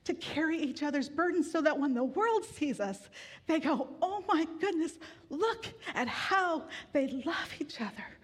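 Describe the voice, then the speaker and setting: High-voiced woman preaching in echoing hall. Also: expressive, a little slow. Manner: warm conversational preaching with gentle humor. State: grieving, tired. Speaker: pastor. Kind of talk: sermon. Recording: long hall reverb.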